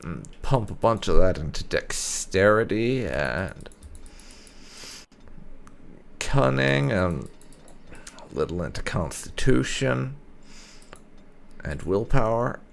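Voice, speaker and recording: Deep, slow, gravelly man commentating a game. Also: sing-song, a little hiss or hum. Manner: unhurried, musing aloud while playing. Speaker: gaming video host. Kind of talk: casual game playthrough commentary.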